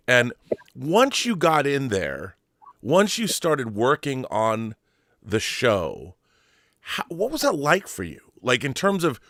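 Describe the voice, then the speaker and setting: Male, American, talk show host, effusive, theatrical delivery, middling pitch, dry close sound. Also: fairly bright tone, no echo. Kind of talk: showman intro, then casual fan interview.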